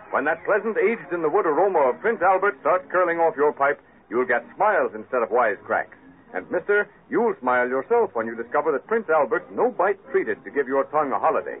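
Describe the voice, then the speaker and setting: A man reads an old-time radio commercial with a folksy, upbeat pitch. His voice bounces lively up and down. The sound is tinny and narrow, like a 1940s broadcast. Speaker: commercial announcer.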